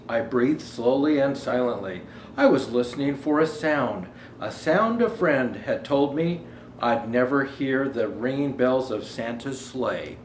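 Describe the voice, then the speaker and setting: A man's voice: medium pitch, voice pushed down. A man playing Santa Claus as a jolly old storyteller, reading a children's storybook aloud with chatty asides. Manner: jovial ho-ho greetings, chatty asides. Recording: roomy echo, faint background noise.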